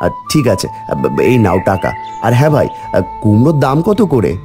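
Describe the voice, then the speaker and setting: A man's voice: fairly low pitch, very animated, moderate pace, over music. A man voicing a poor, honest, devout village farmer in a cartoon, speaking earnestly and emphatically. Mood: friendly, hopeful. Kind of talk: cartoon voice acting.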